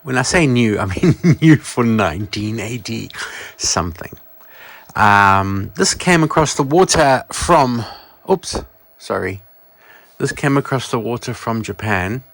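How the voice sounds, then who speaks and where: A man presents vintage gear in a casual, off-the-cuff way. His voice sits at an ordinary middle pitch. He rambles through details and often corrects himself mid-thought. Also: expressive, measured pace, a bit bright, dry recording. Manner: rambling, self-correcting, informal. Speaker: presenter of a vintage electronics channel. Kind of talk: casual product show-and-tell.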